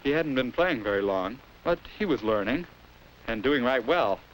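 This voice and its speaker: A man's voice: medium pitch, lively intonation, moderate pace, close to an everyday voice, low-quality recording. A young American man playing a self-conscious schoolboy, narrating earnestly and confidingly in a 1950s educational film, on a hissy old soundtrack. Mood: reflective. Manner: earnest, confiding first-person storytelling.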